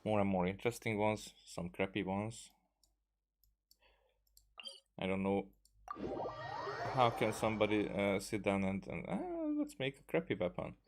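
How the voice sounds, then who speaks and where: A man giving casual gameplay commentary in a hesitant, halting way, as if thinking aloud. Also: lowish voice, background noise. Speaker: gaming video creator. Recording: game music behind the voice. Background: video game music.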